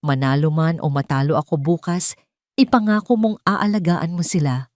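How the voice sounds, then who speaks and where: A woman's voice: low-pitched, normal speed, darkish tone, no echo, studio quality, close to an everyday voice. A woman with a Filipino accent voicing a serious, remorseful wealthy father in an audio drama. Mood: solemn plea. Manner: serious, earnest. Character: grave, remorseful wealthy man, protective father.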